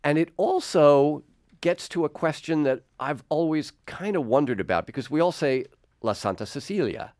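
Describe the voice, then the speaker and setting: A man hosting a conversational studio music interview, asking thoughtful questions in a halting, exploratory way. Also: a little high, expressive, darkish tone, dry recording. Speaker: radio host and interviewer. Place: radio studio.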